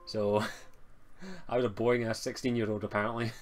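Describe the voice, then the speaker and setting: A Scottish man in his twenties hosting a casual chat, quick and self-mocking, laughing often. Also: fairly low pitch, steady tone, soft background music. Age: 20s. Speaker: game master and host.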